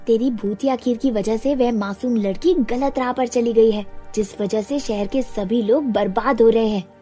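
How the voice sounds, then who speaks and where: A woman's voice: fairly high pitch, normal speed, dark tone, soft background music, close to an everyday voice. A woman voicing a righteous fairy in a cartoon tale, admonishing and motherly. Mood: stern. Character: righteous, stern but kindly female fairy.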